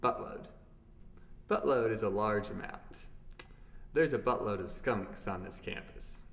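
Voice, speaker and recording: A man's voice: lowish voice, measured pace, gravelly. A young man hosting a scripted comedic word segment in a mock-formal announcer manner. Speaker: segment host. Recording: distant mic under loud music. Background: loud brassy music.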